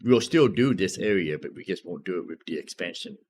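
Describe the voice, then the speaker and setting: A man's voice: sits low, expressive, a little fast, a little mellow, slight background noise. A man live streaming a video game, commentating casually as he thinks aloud and repeats himself.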